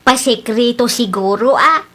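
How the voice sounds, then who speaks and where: A bright-voiced gossipy neighbor. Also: female voice, fairly high pitch, unhurried. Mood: confiding. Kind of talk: radio drama acting.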